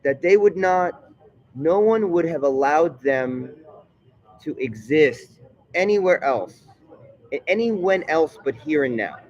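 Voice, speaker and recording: A man talking in a casual vlog monologue, rambling and digressive, often halting mid-thought. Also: a little high, animated, a little mellow, muffled recording. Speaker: vlogger.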